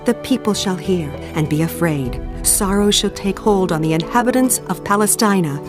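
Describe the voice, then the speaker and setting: A woman's voice: medium pitch, moderate pace, over music, close to an everyday voice. A woman voicing a devout Israelite, declaiming reverent praise in a dramatized scripture recitation.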